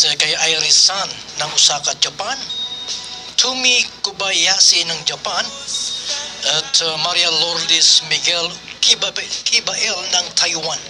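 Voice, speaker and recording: A man with a Filipino accent hosting a radio program, greeting listeners and reading out names in a deliberate way. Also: a little high, poor audio. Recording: music playing behind radio voice. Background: music.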